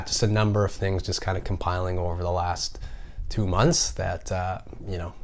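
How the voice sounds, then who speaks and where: A man with a slightly raspy voice from a recent cold, talking casually as the host of an informational vlog. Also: sits low, slight background noise. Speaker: personal finance video host.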